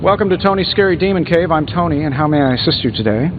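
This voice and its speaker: An actor playing a cocky, glib billionaire inventor superhero in a video game, teasing and self-assured. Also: male voice, on the high side, animated, fast, natural voice. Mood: breezy, teasing. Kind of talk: video game dialogue acting. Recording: dull muffled game capture, music behind.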